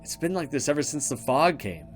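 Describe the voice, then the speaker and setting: A man's voice: fairly high pitch, very animated, a little fast, soft background music. A man voicing an anxious, easily scared young woman in a visual novel, in an earnest and uneasy manner. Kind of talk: visual novel dialogue read aloud.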